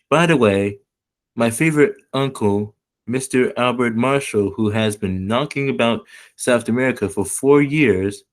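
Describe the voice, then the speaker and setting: A man's voice: mid-range voice, lightly acted. A man acting an ardent young suitor reading out his love letters in a 1940s style radio play, earnest and pleading.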